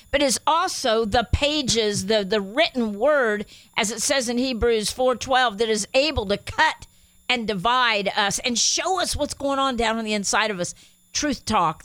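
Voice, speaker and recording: A woman's voice: fairly high pitch, fairly bright tone, no room sound, low-quality recording. Enthusiastic woman with a slight Spanish accent. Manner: enthusiastic, reads scripture aloud with emphasis. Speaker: radio show co-host.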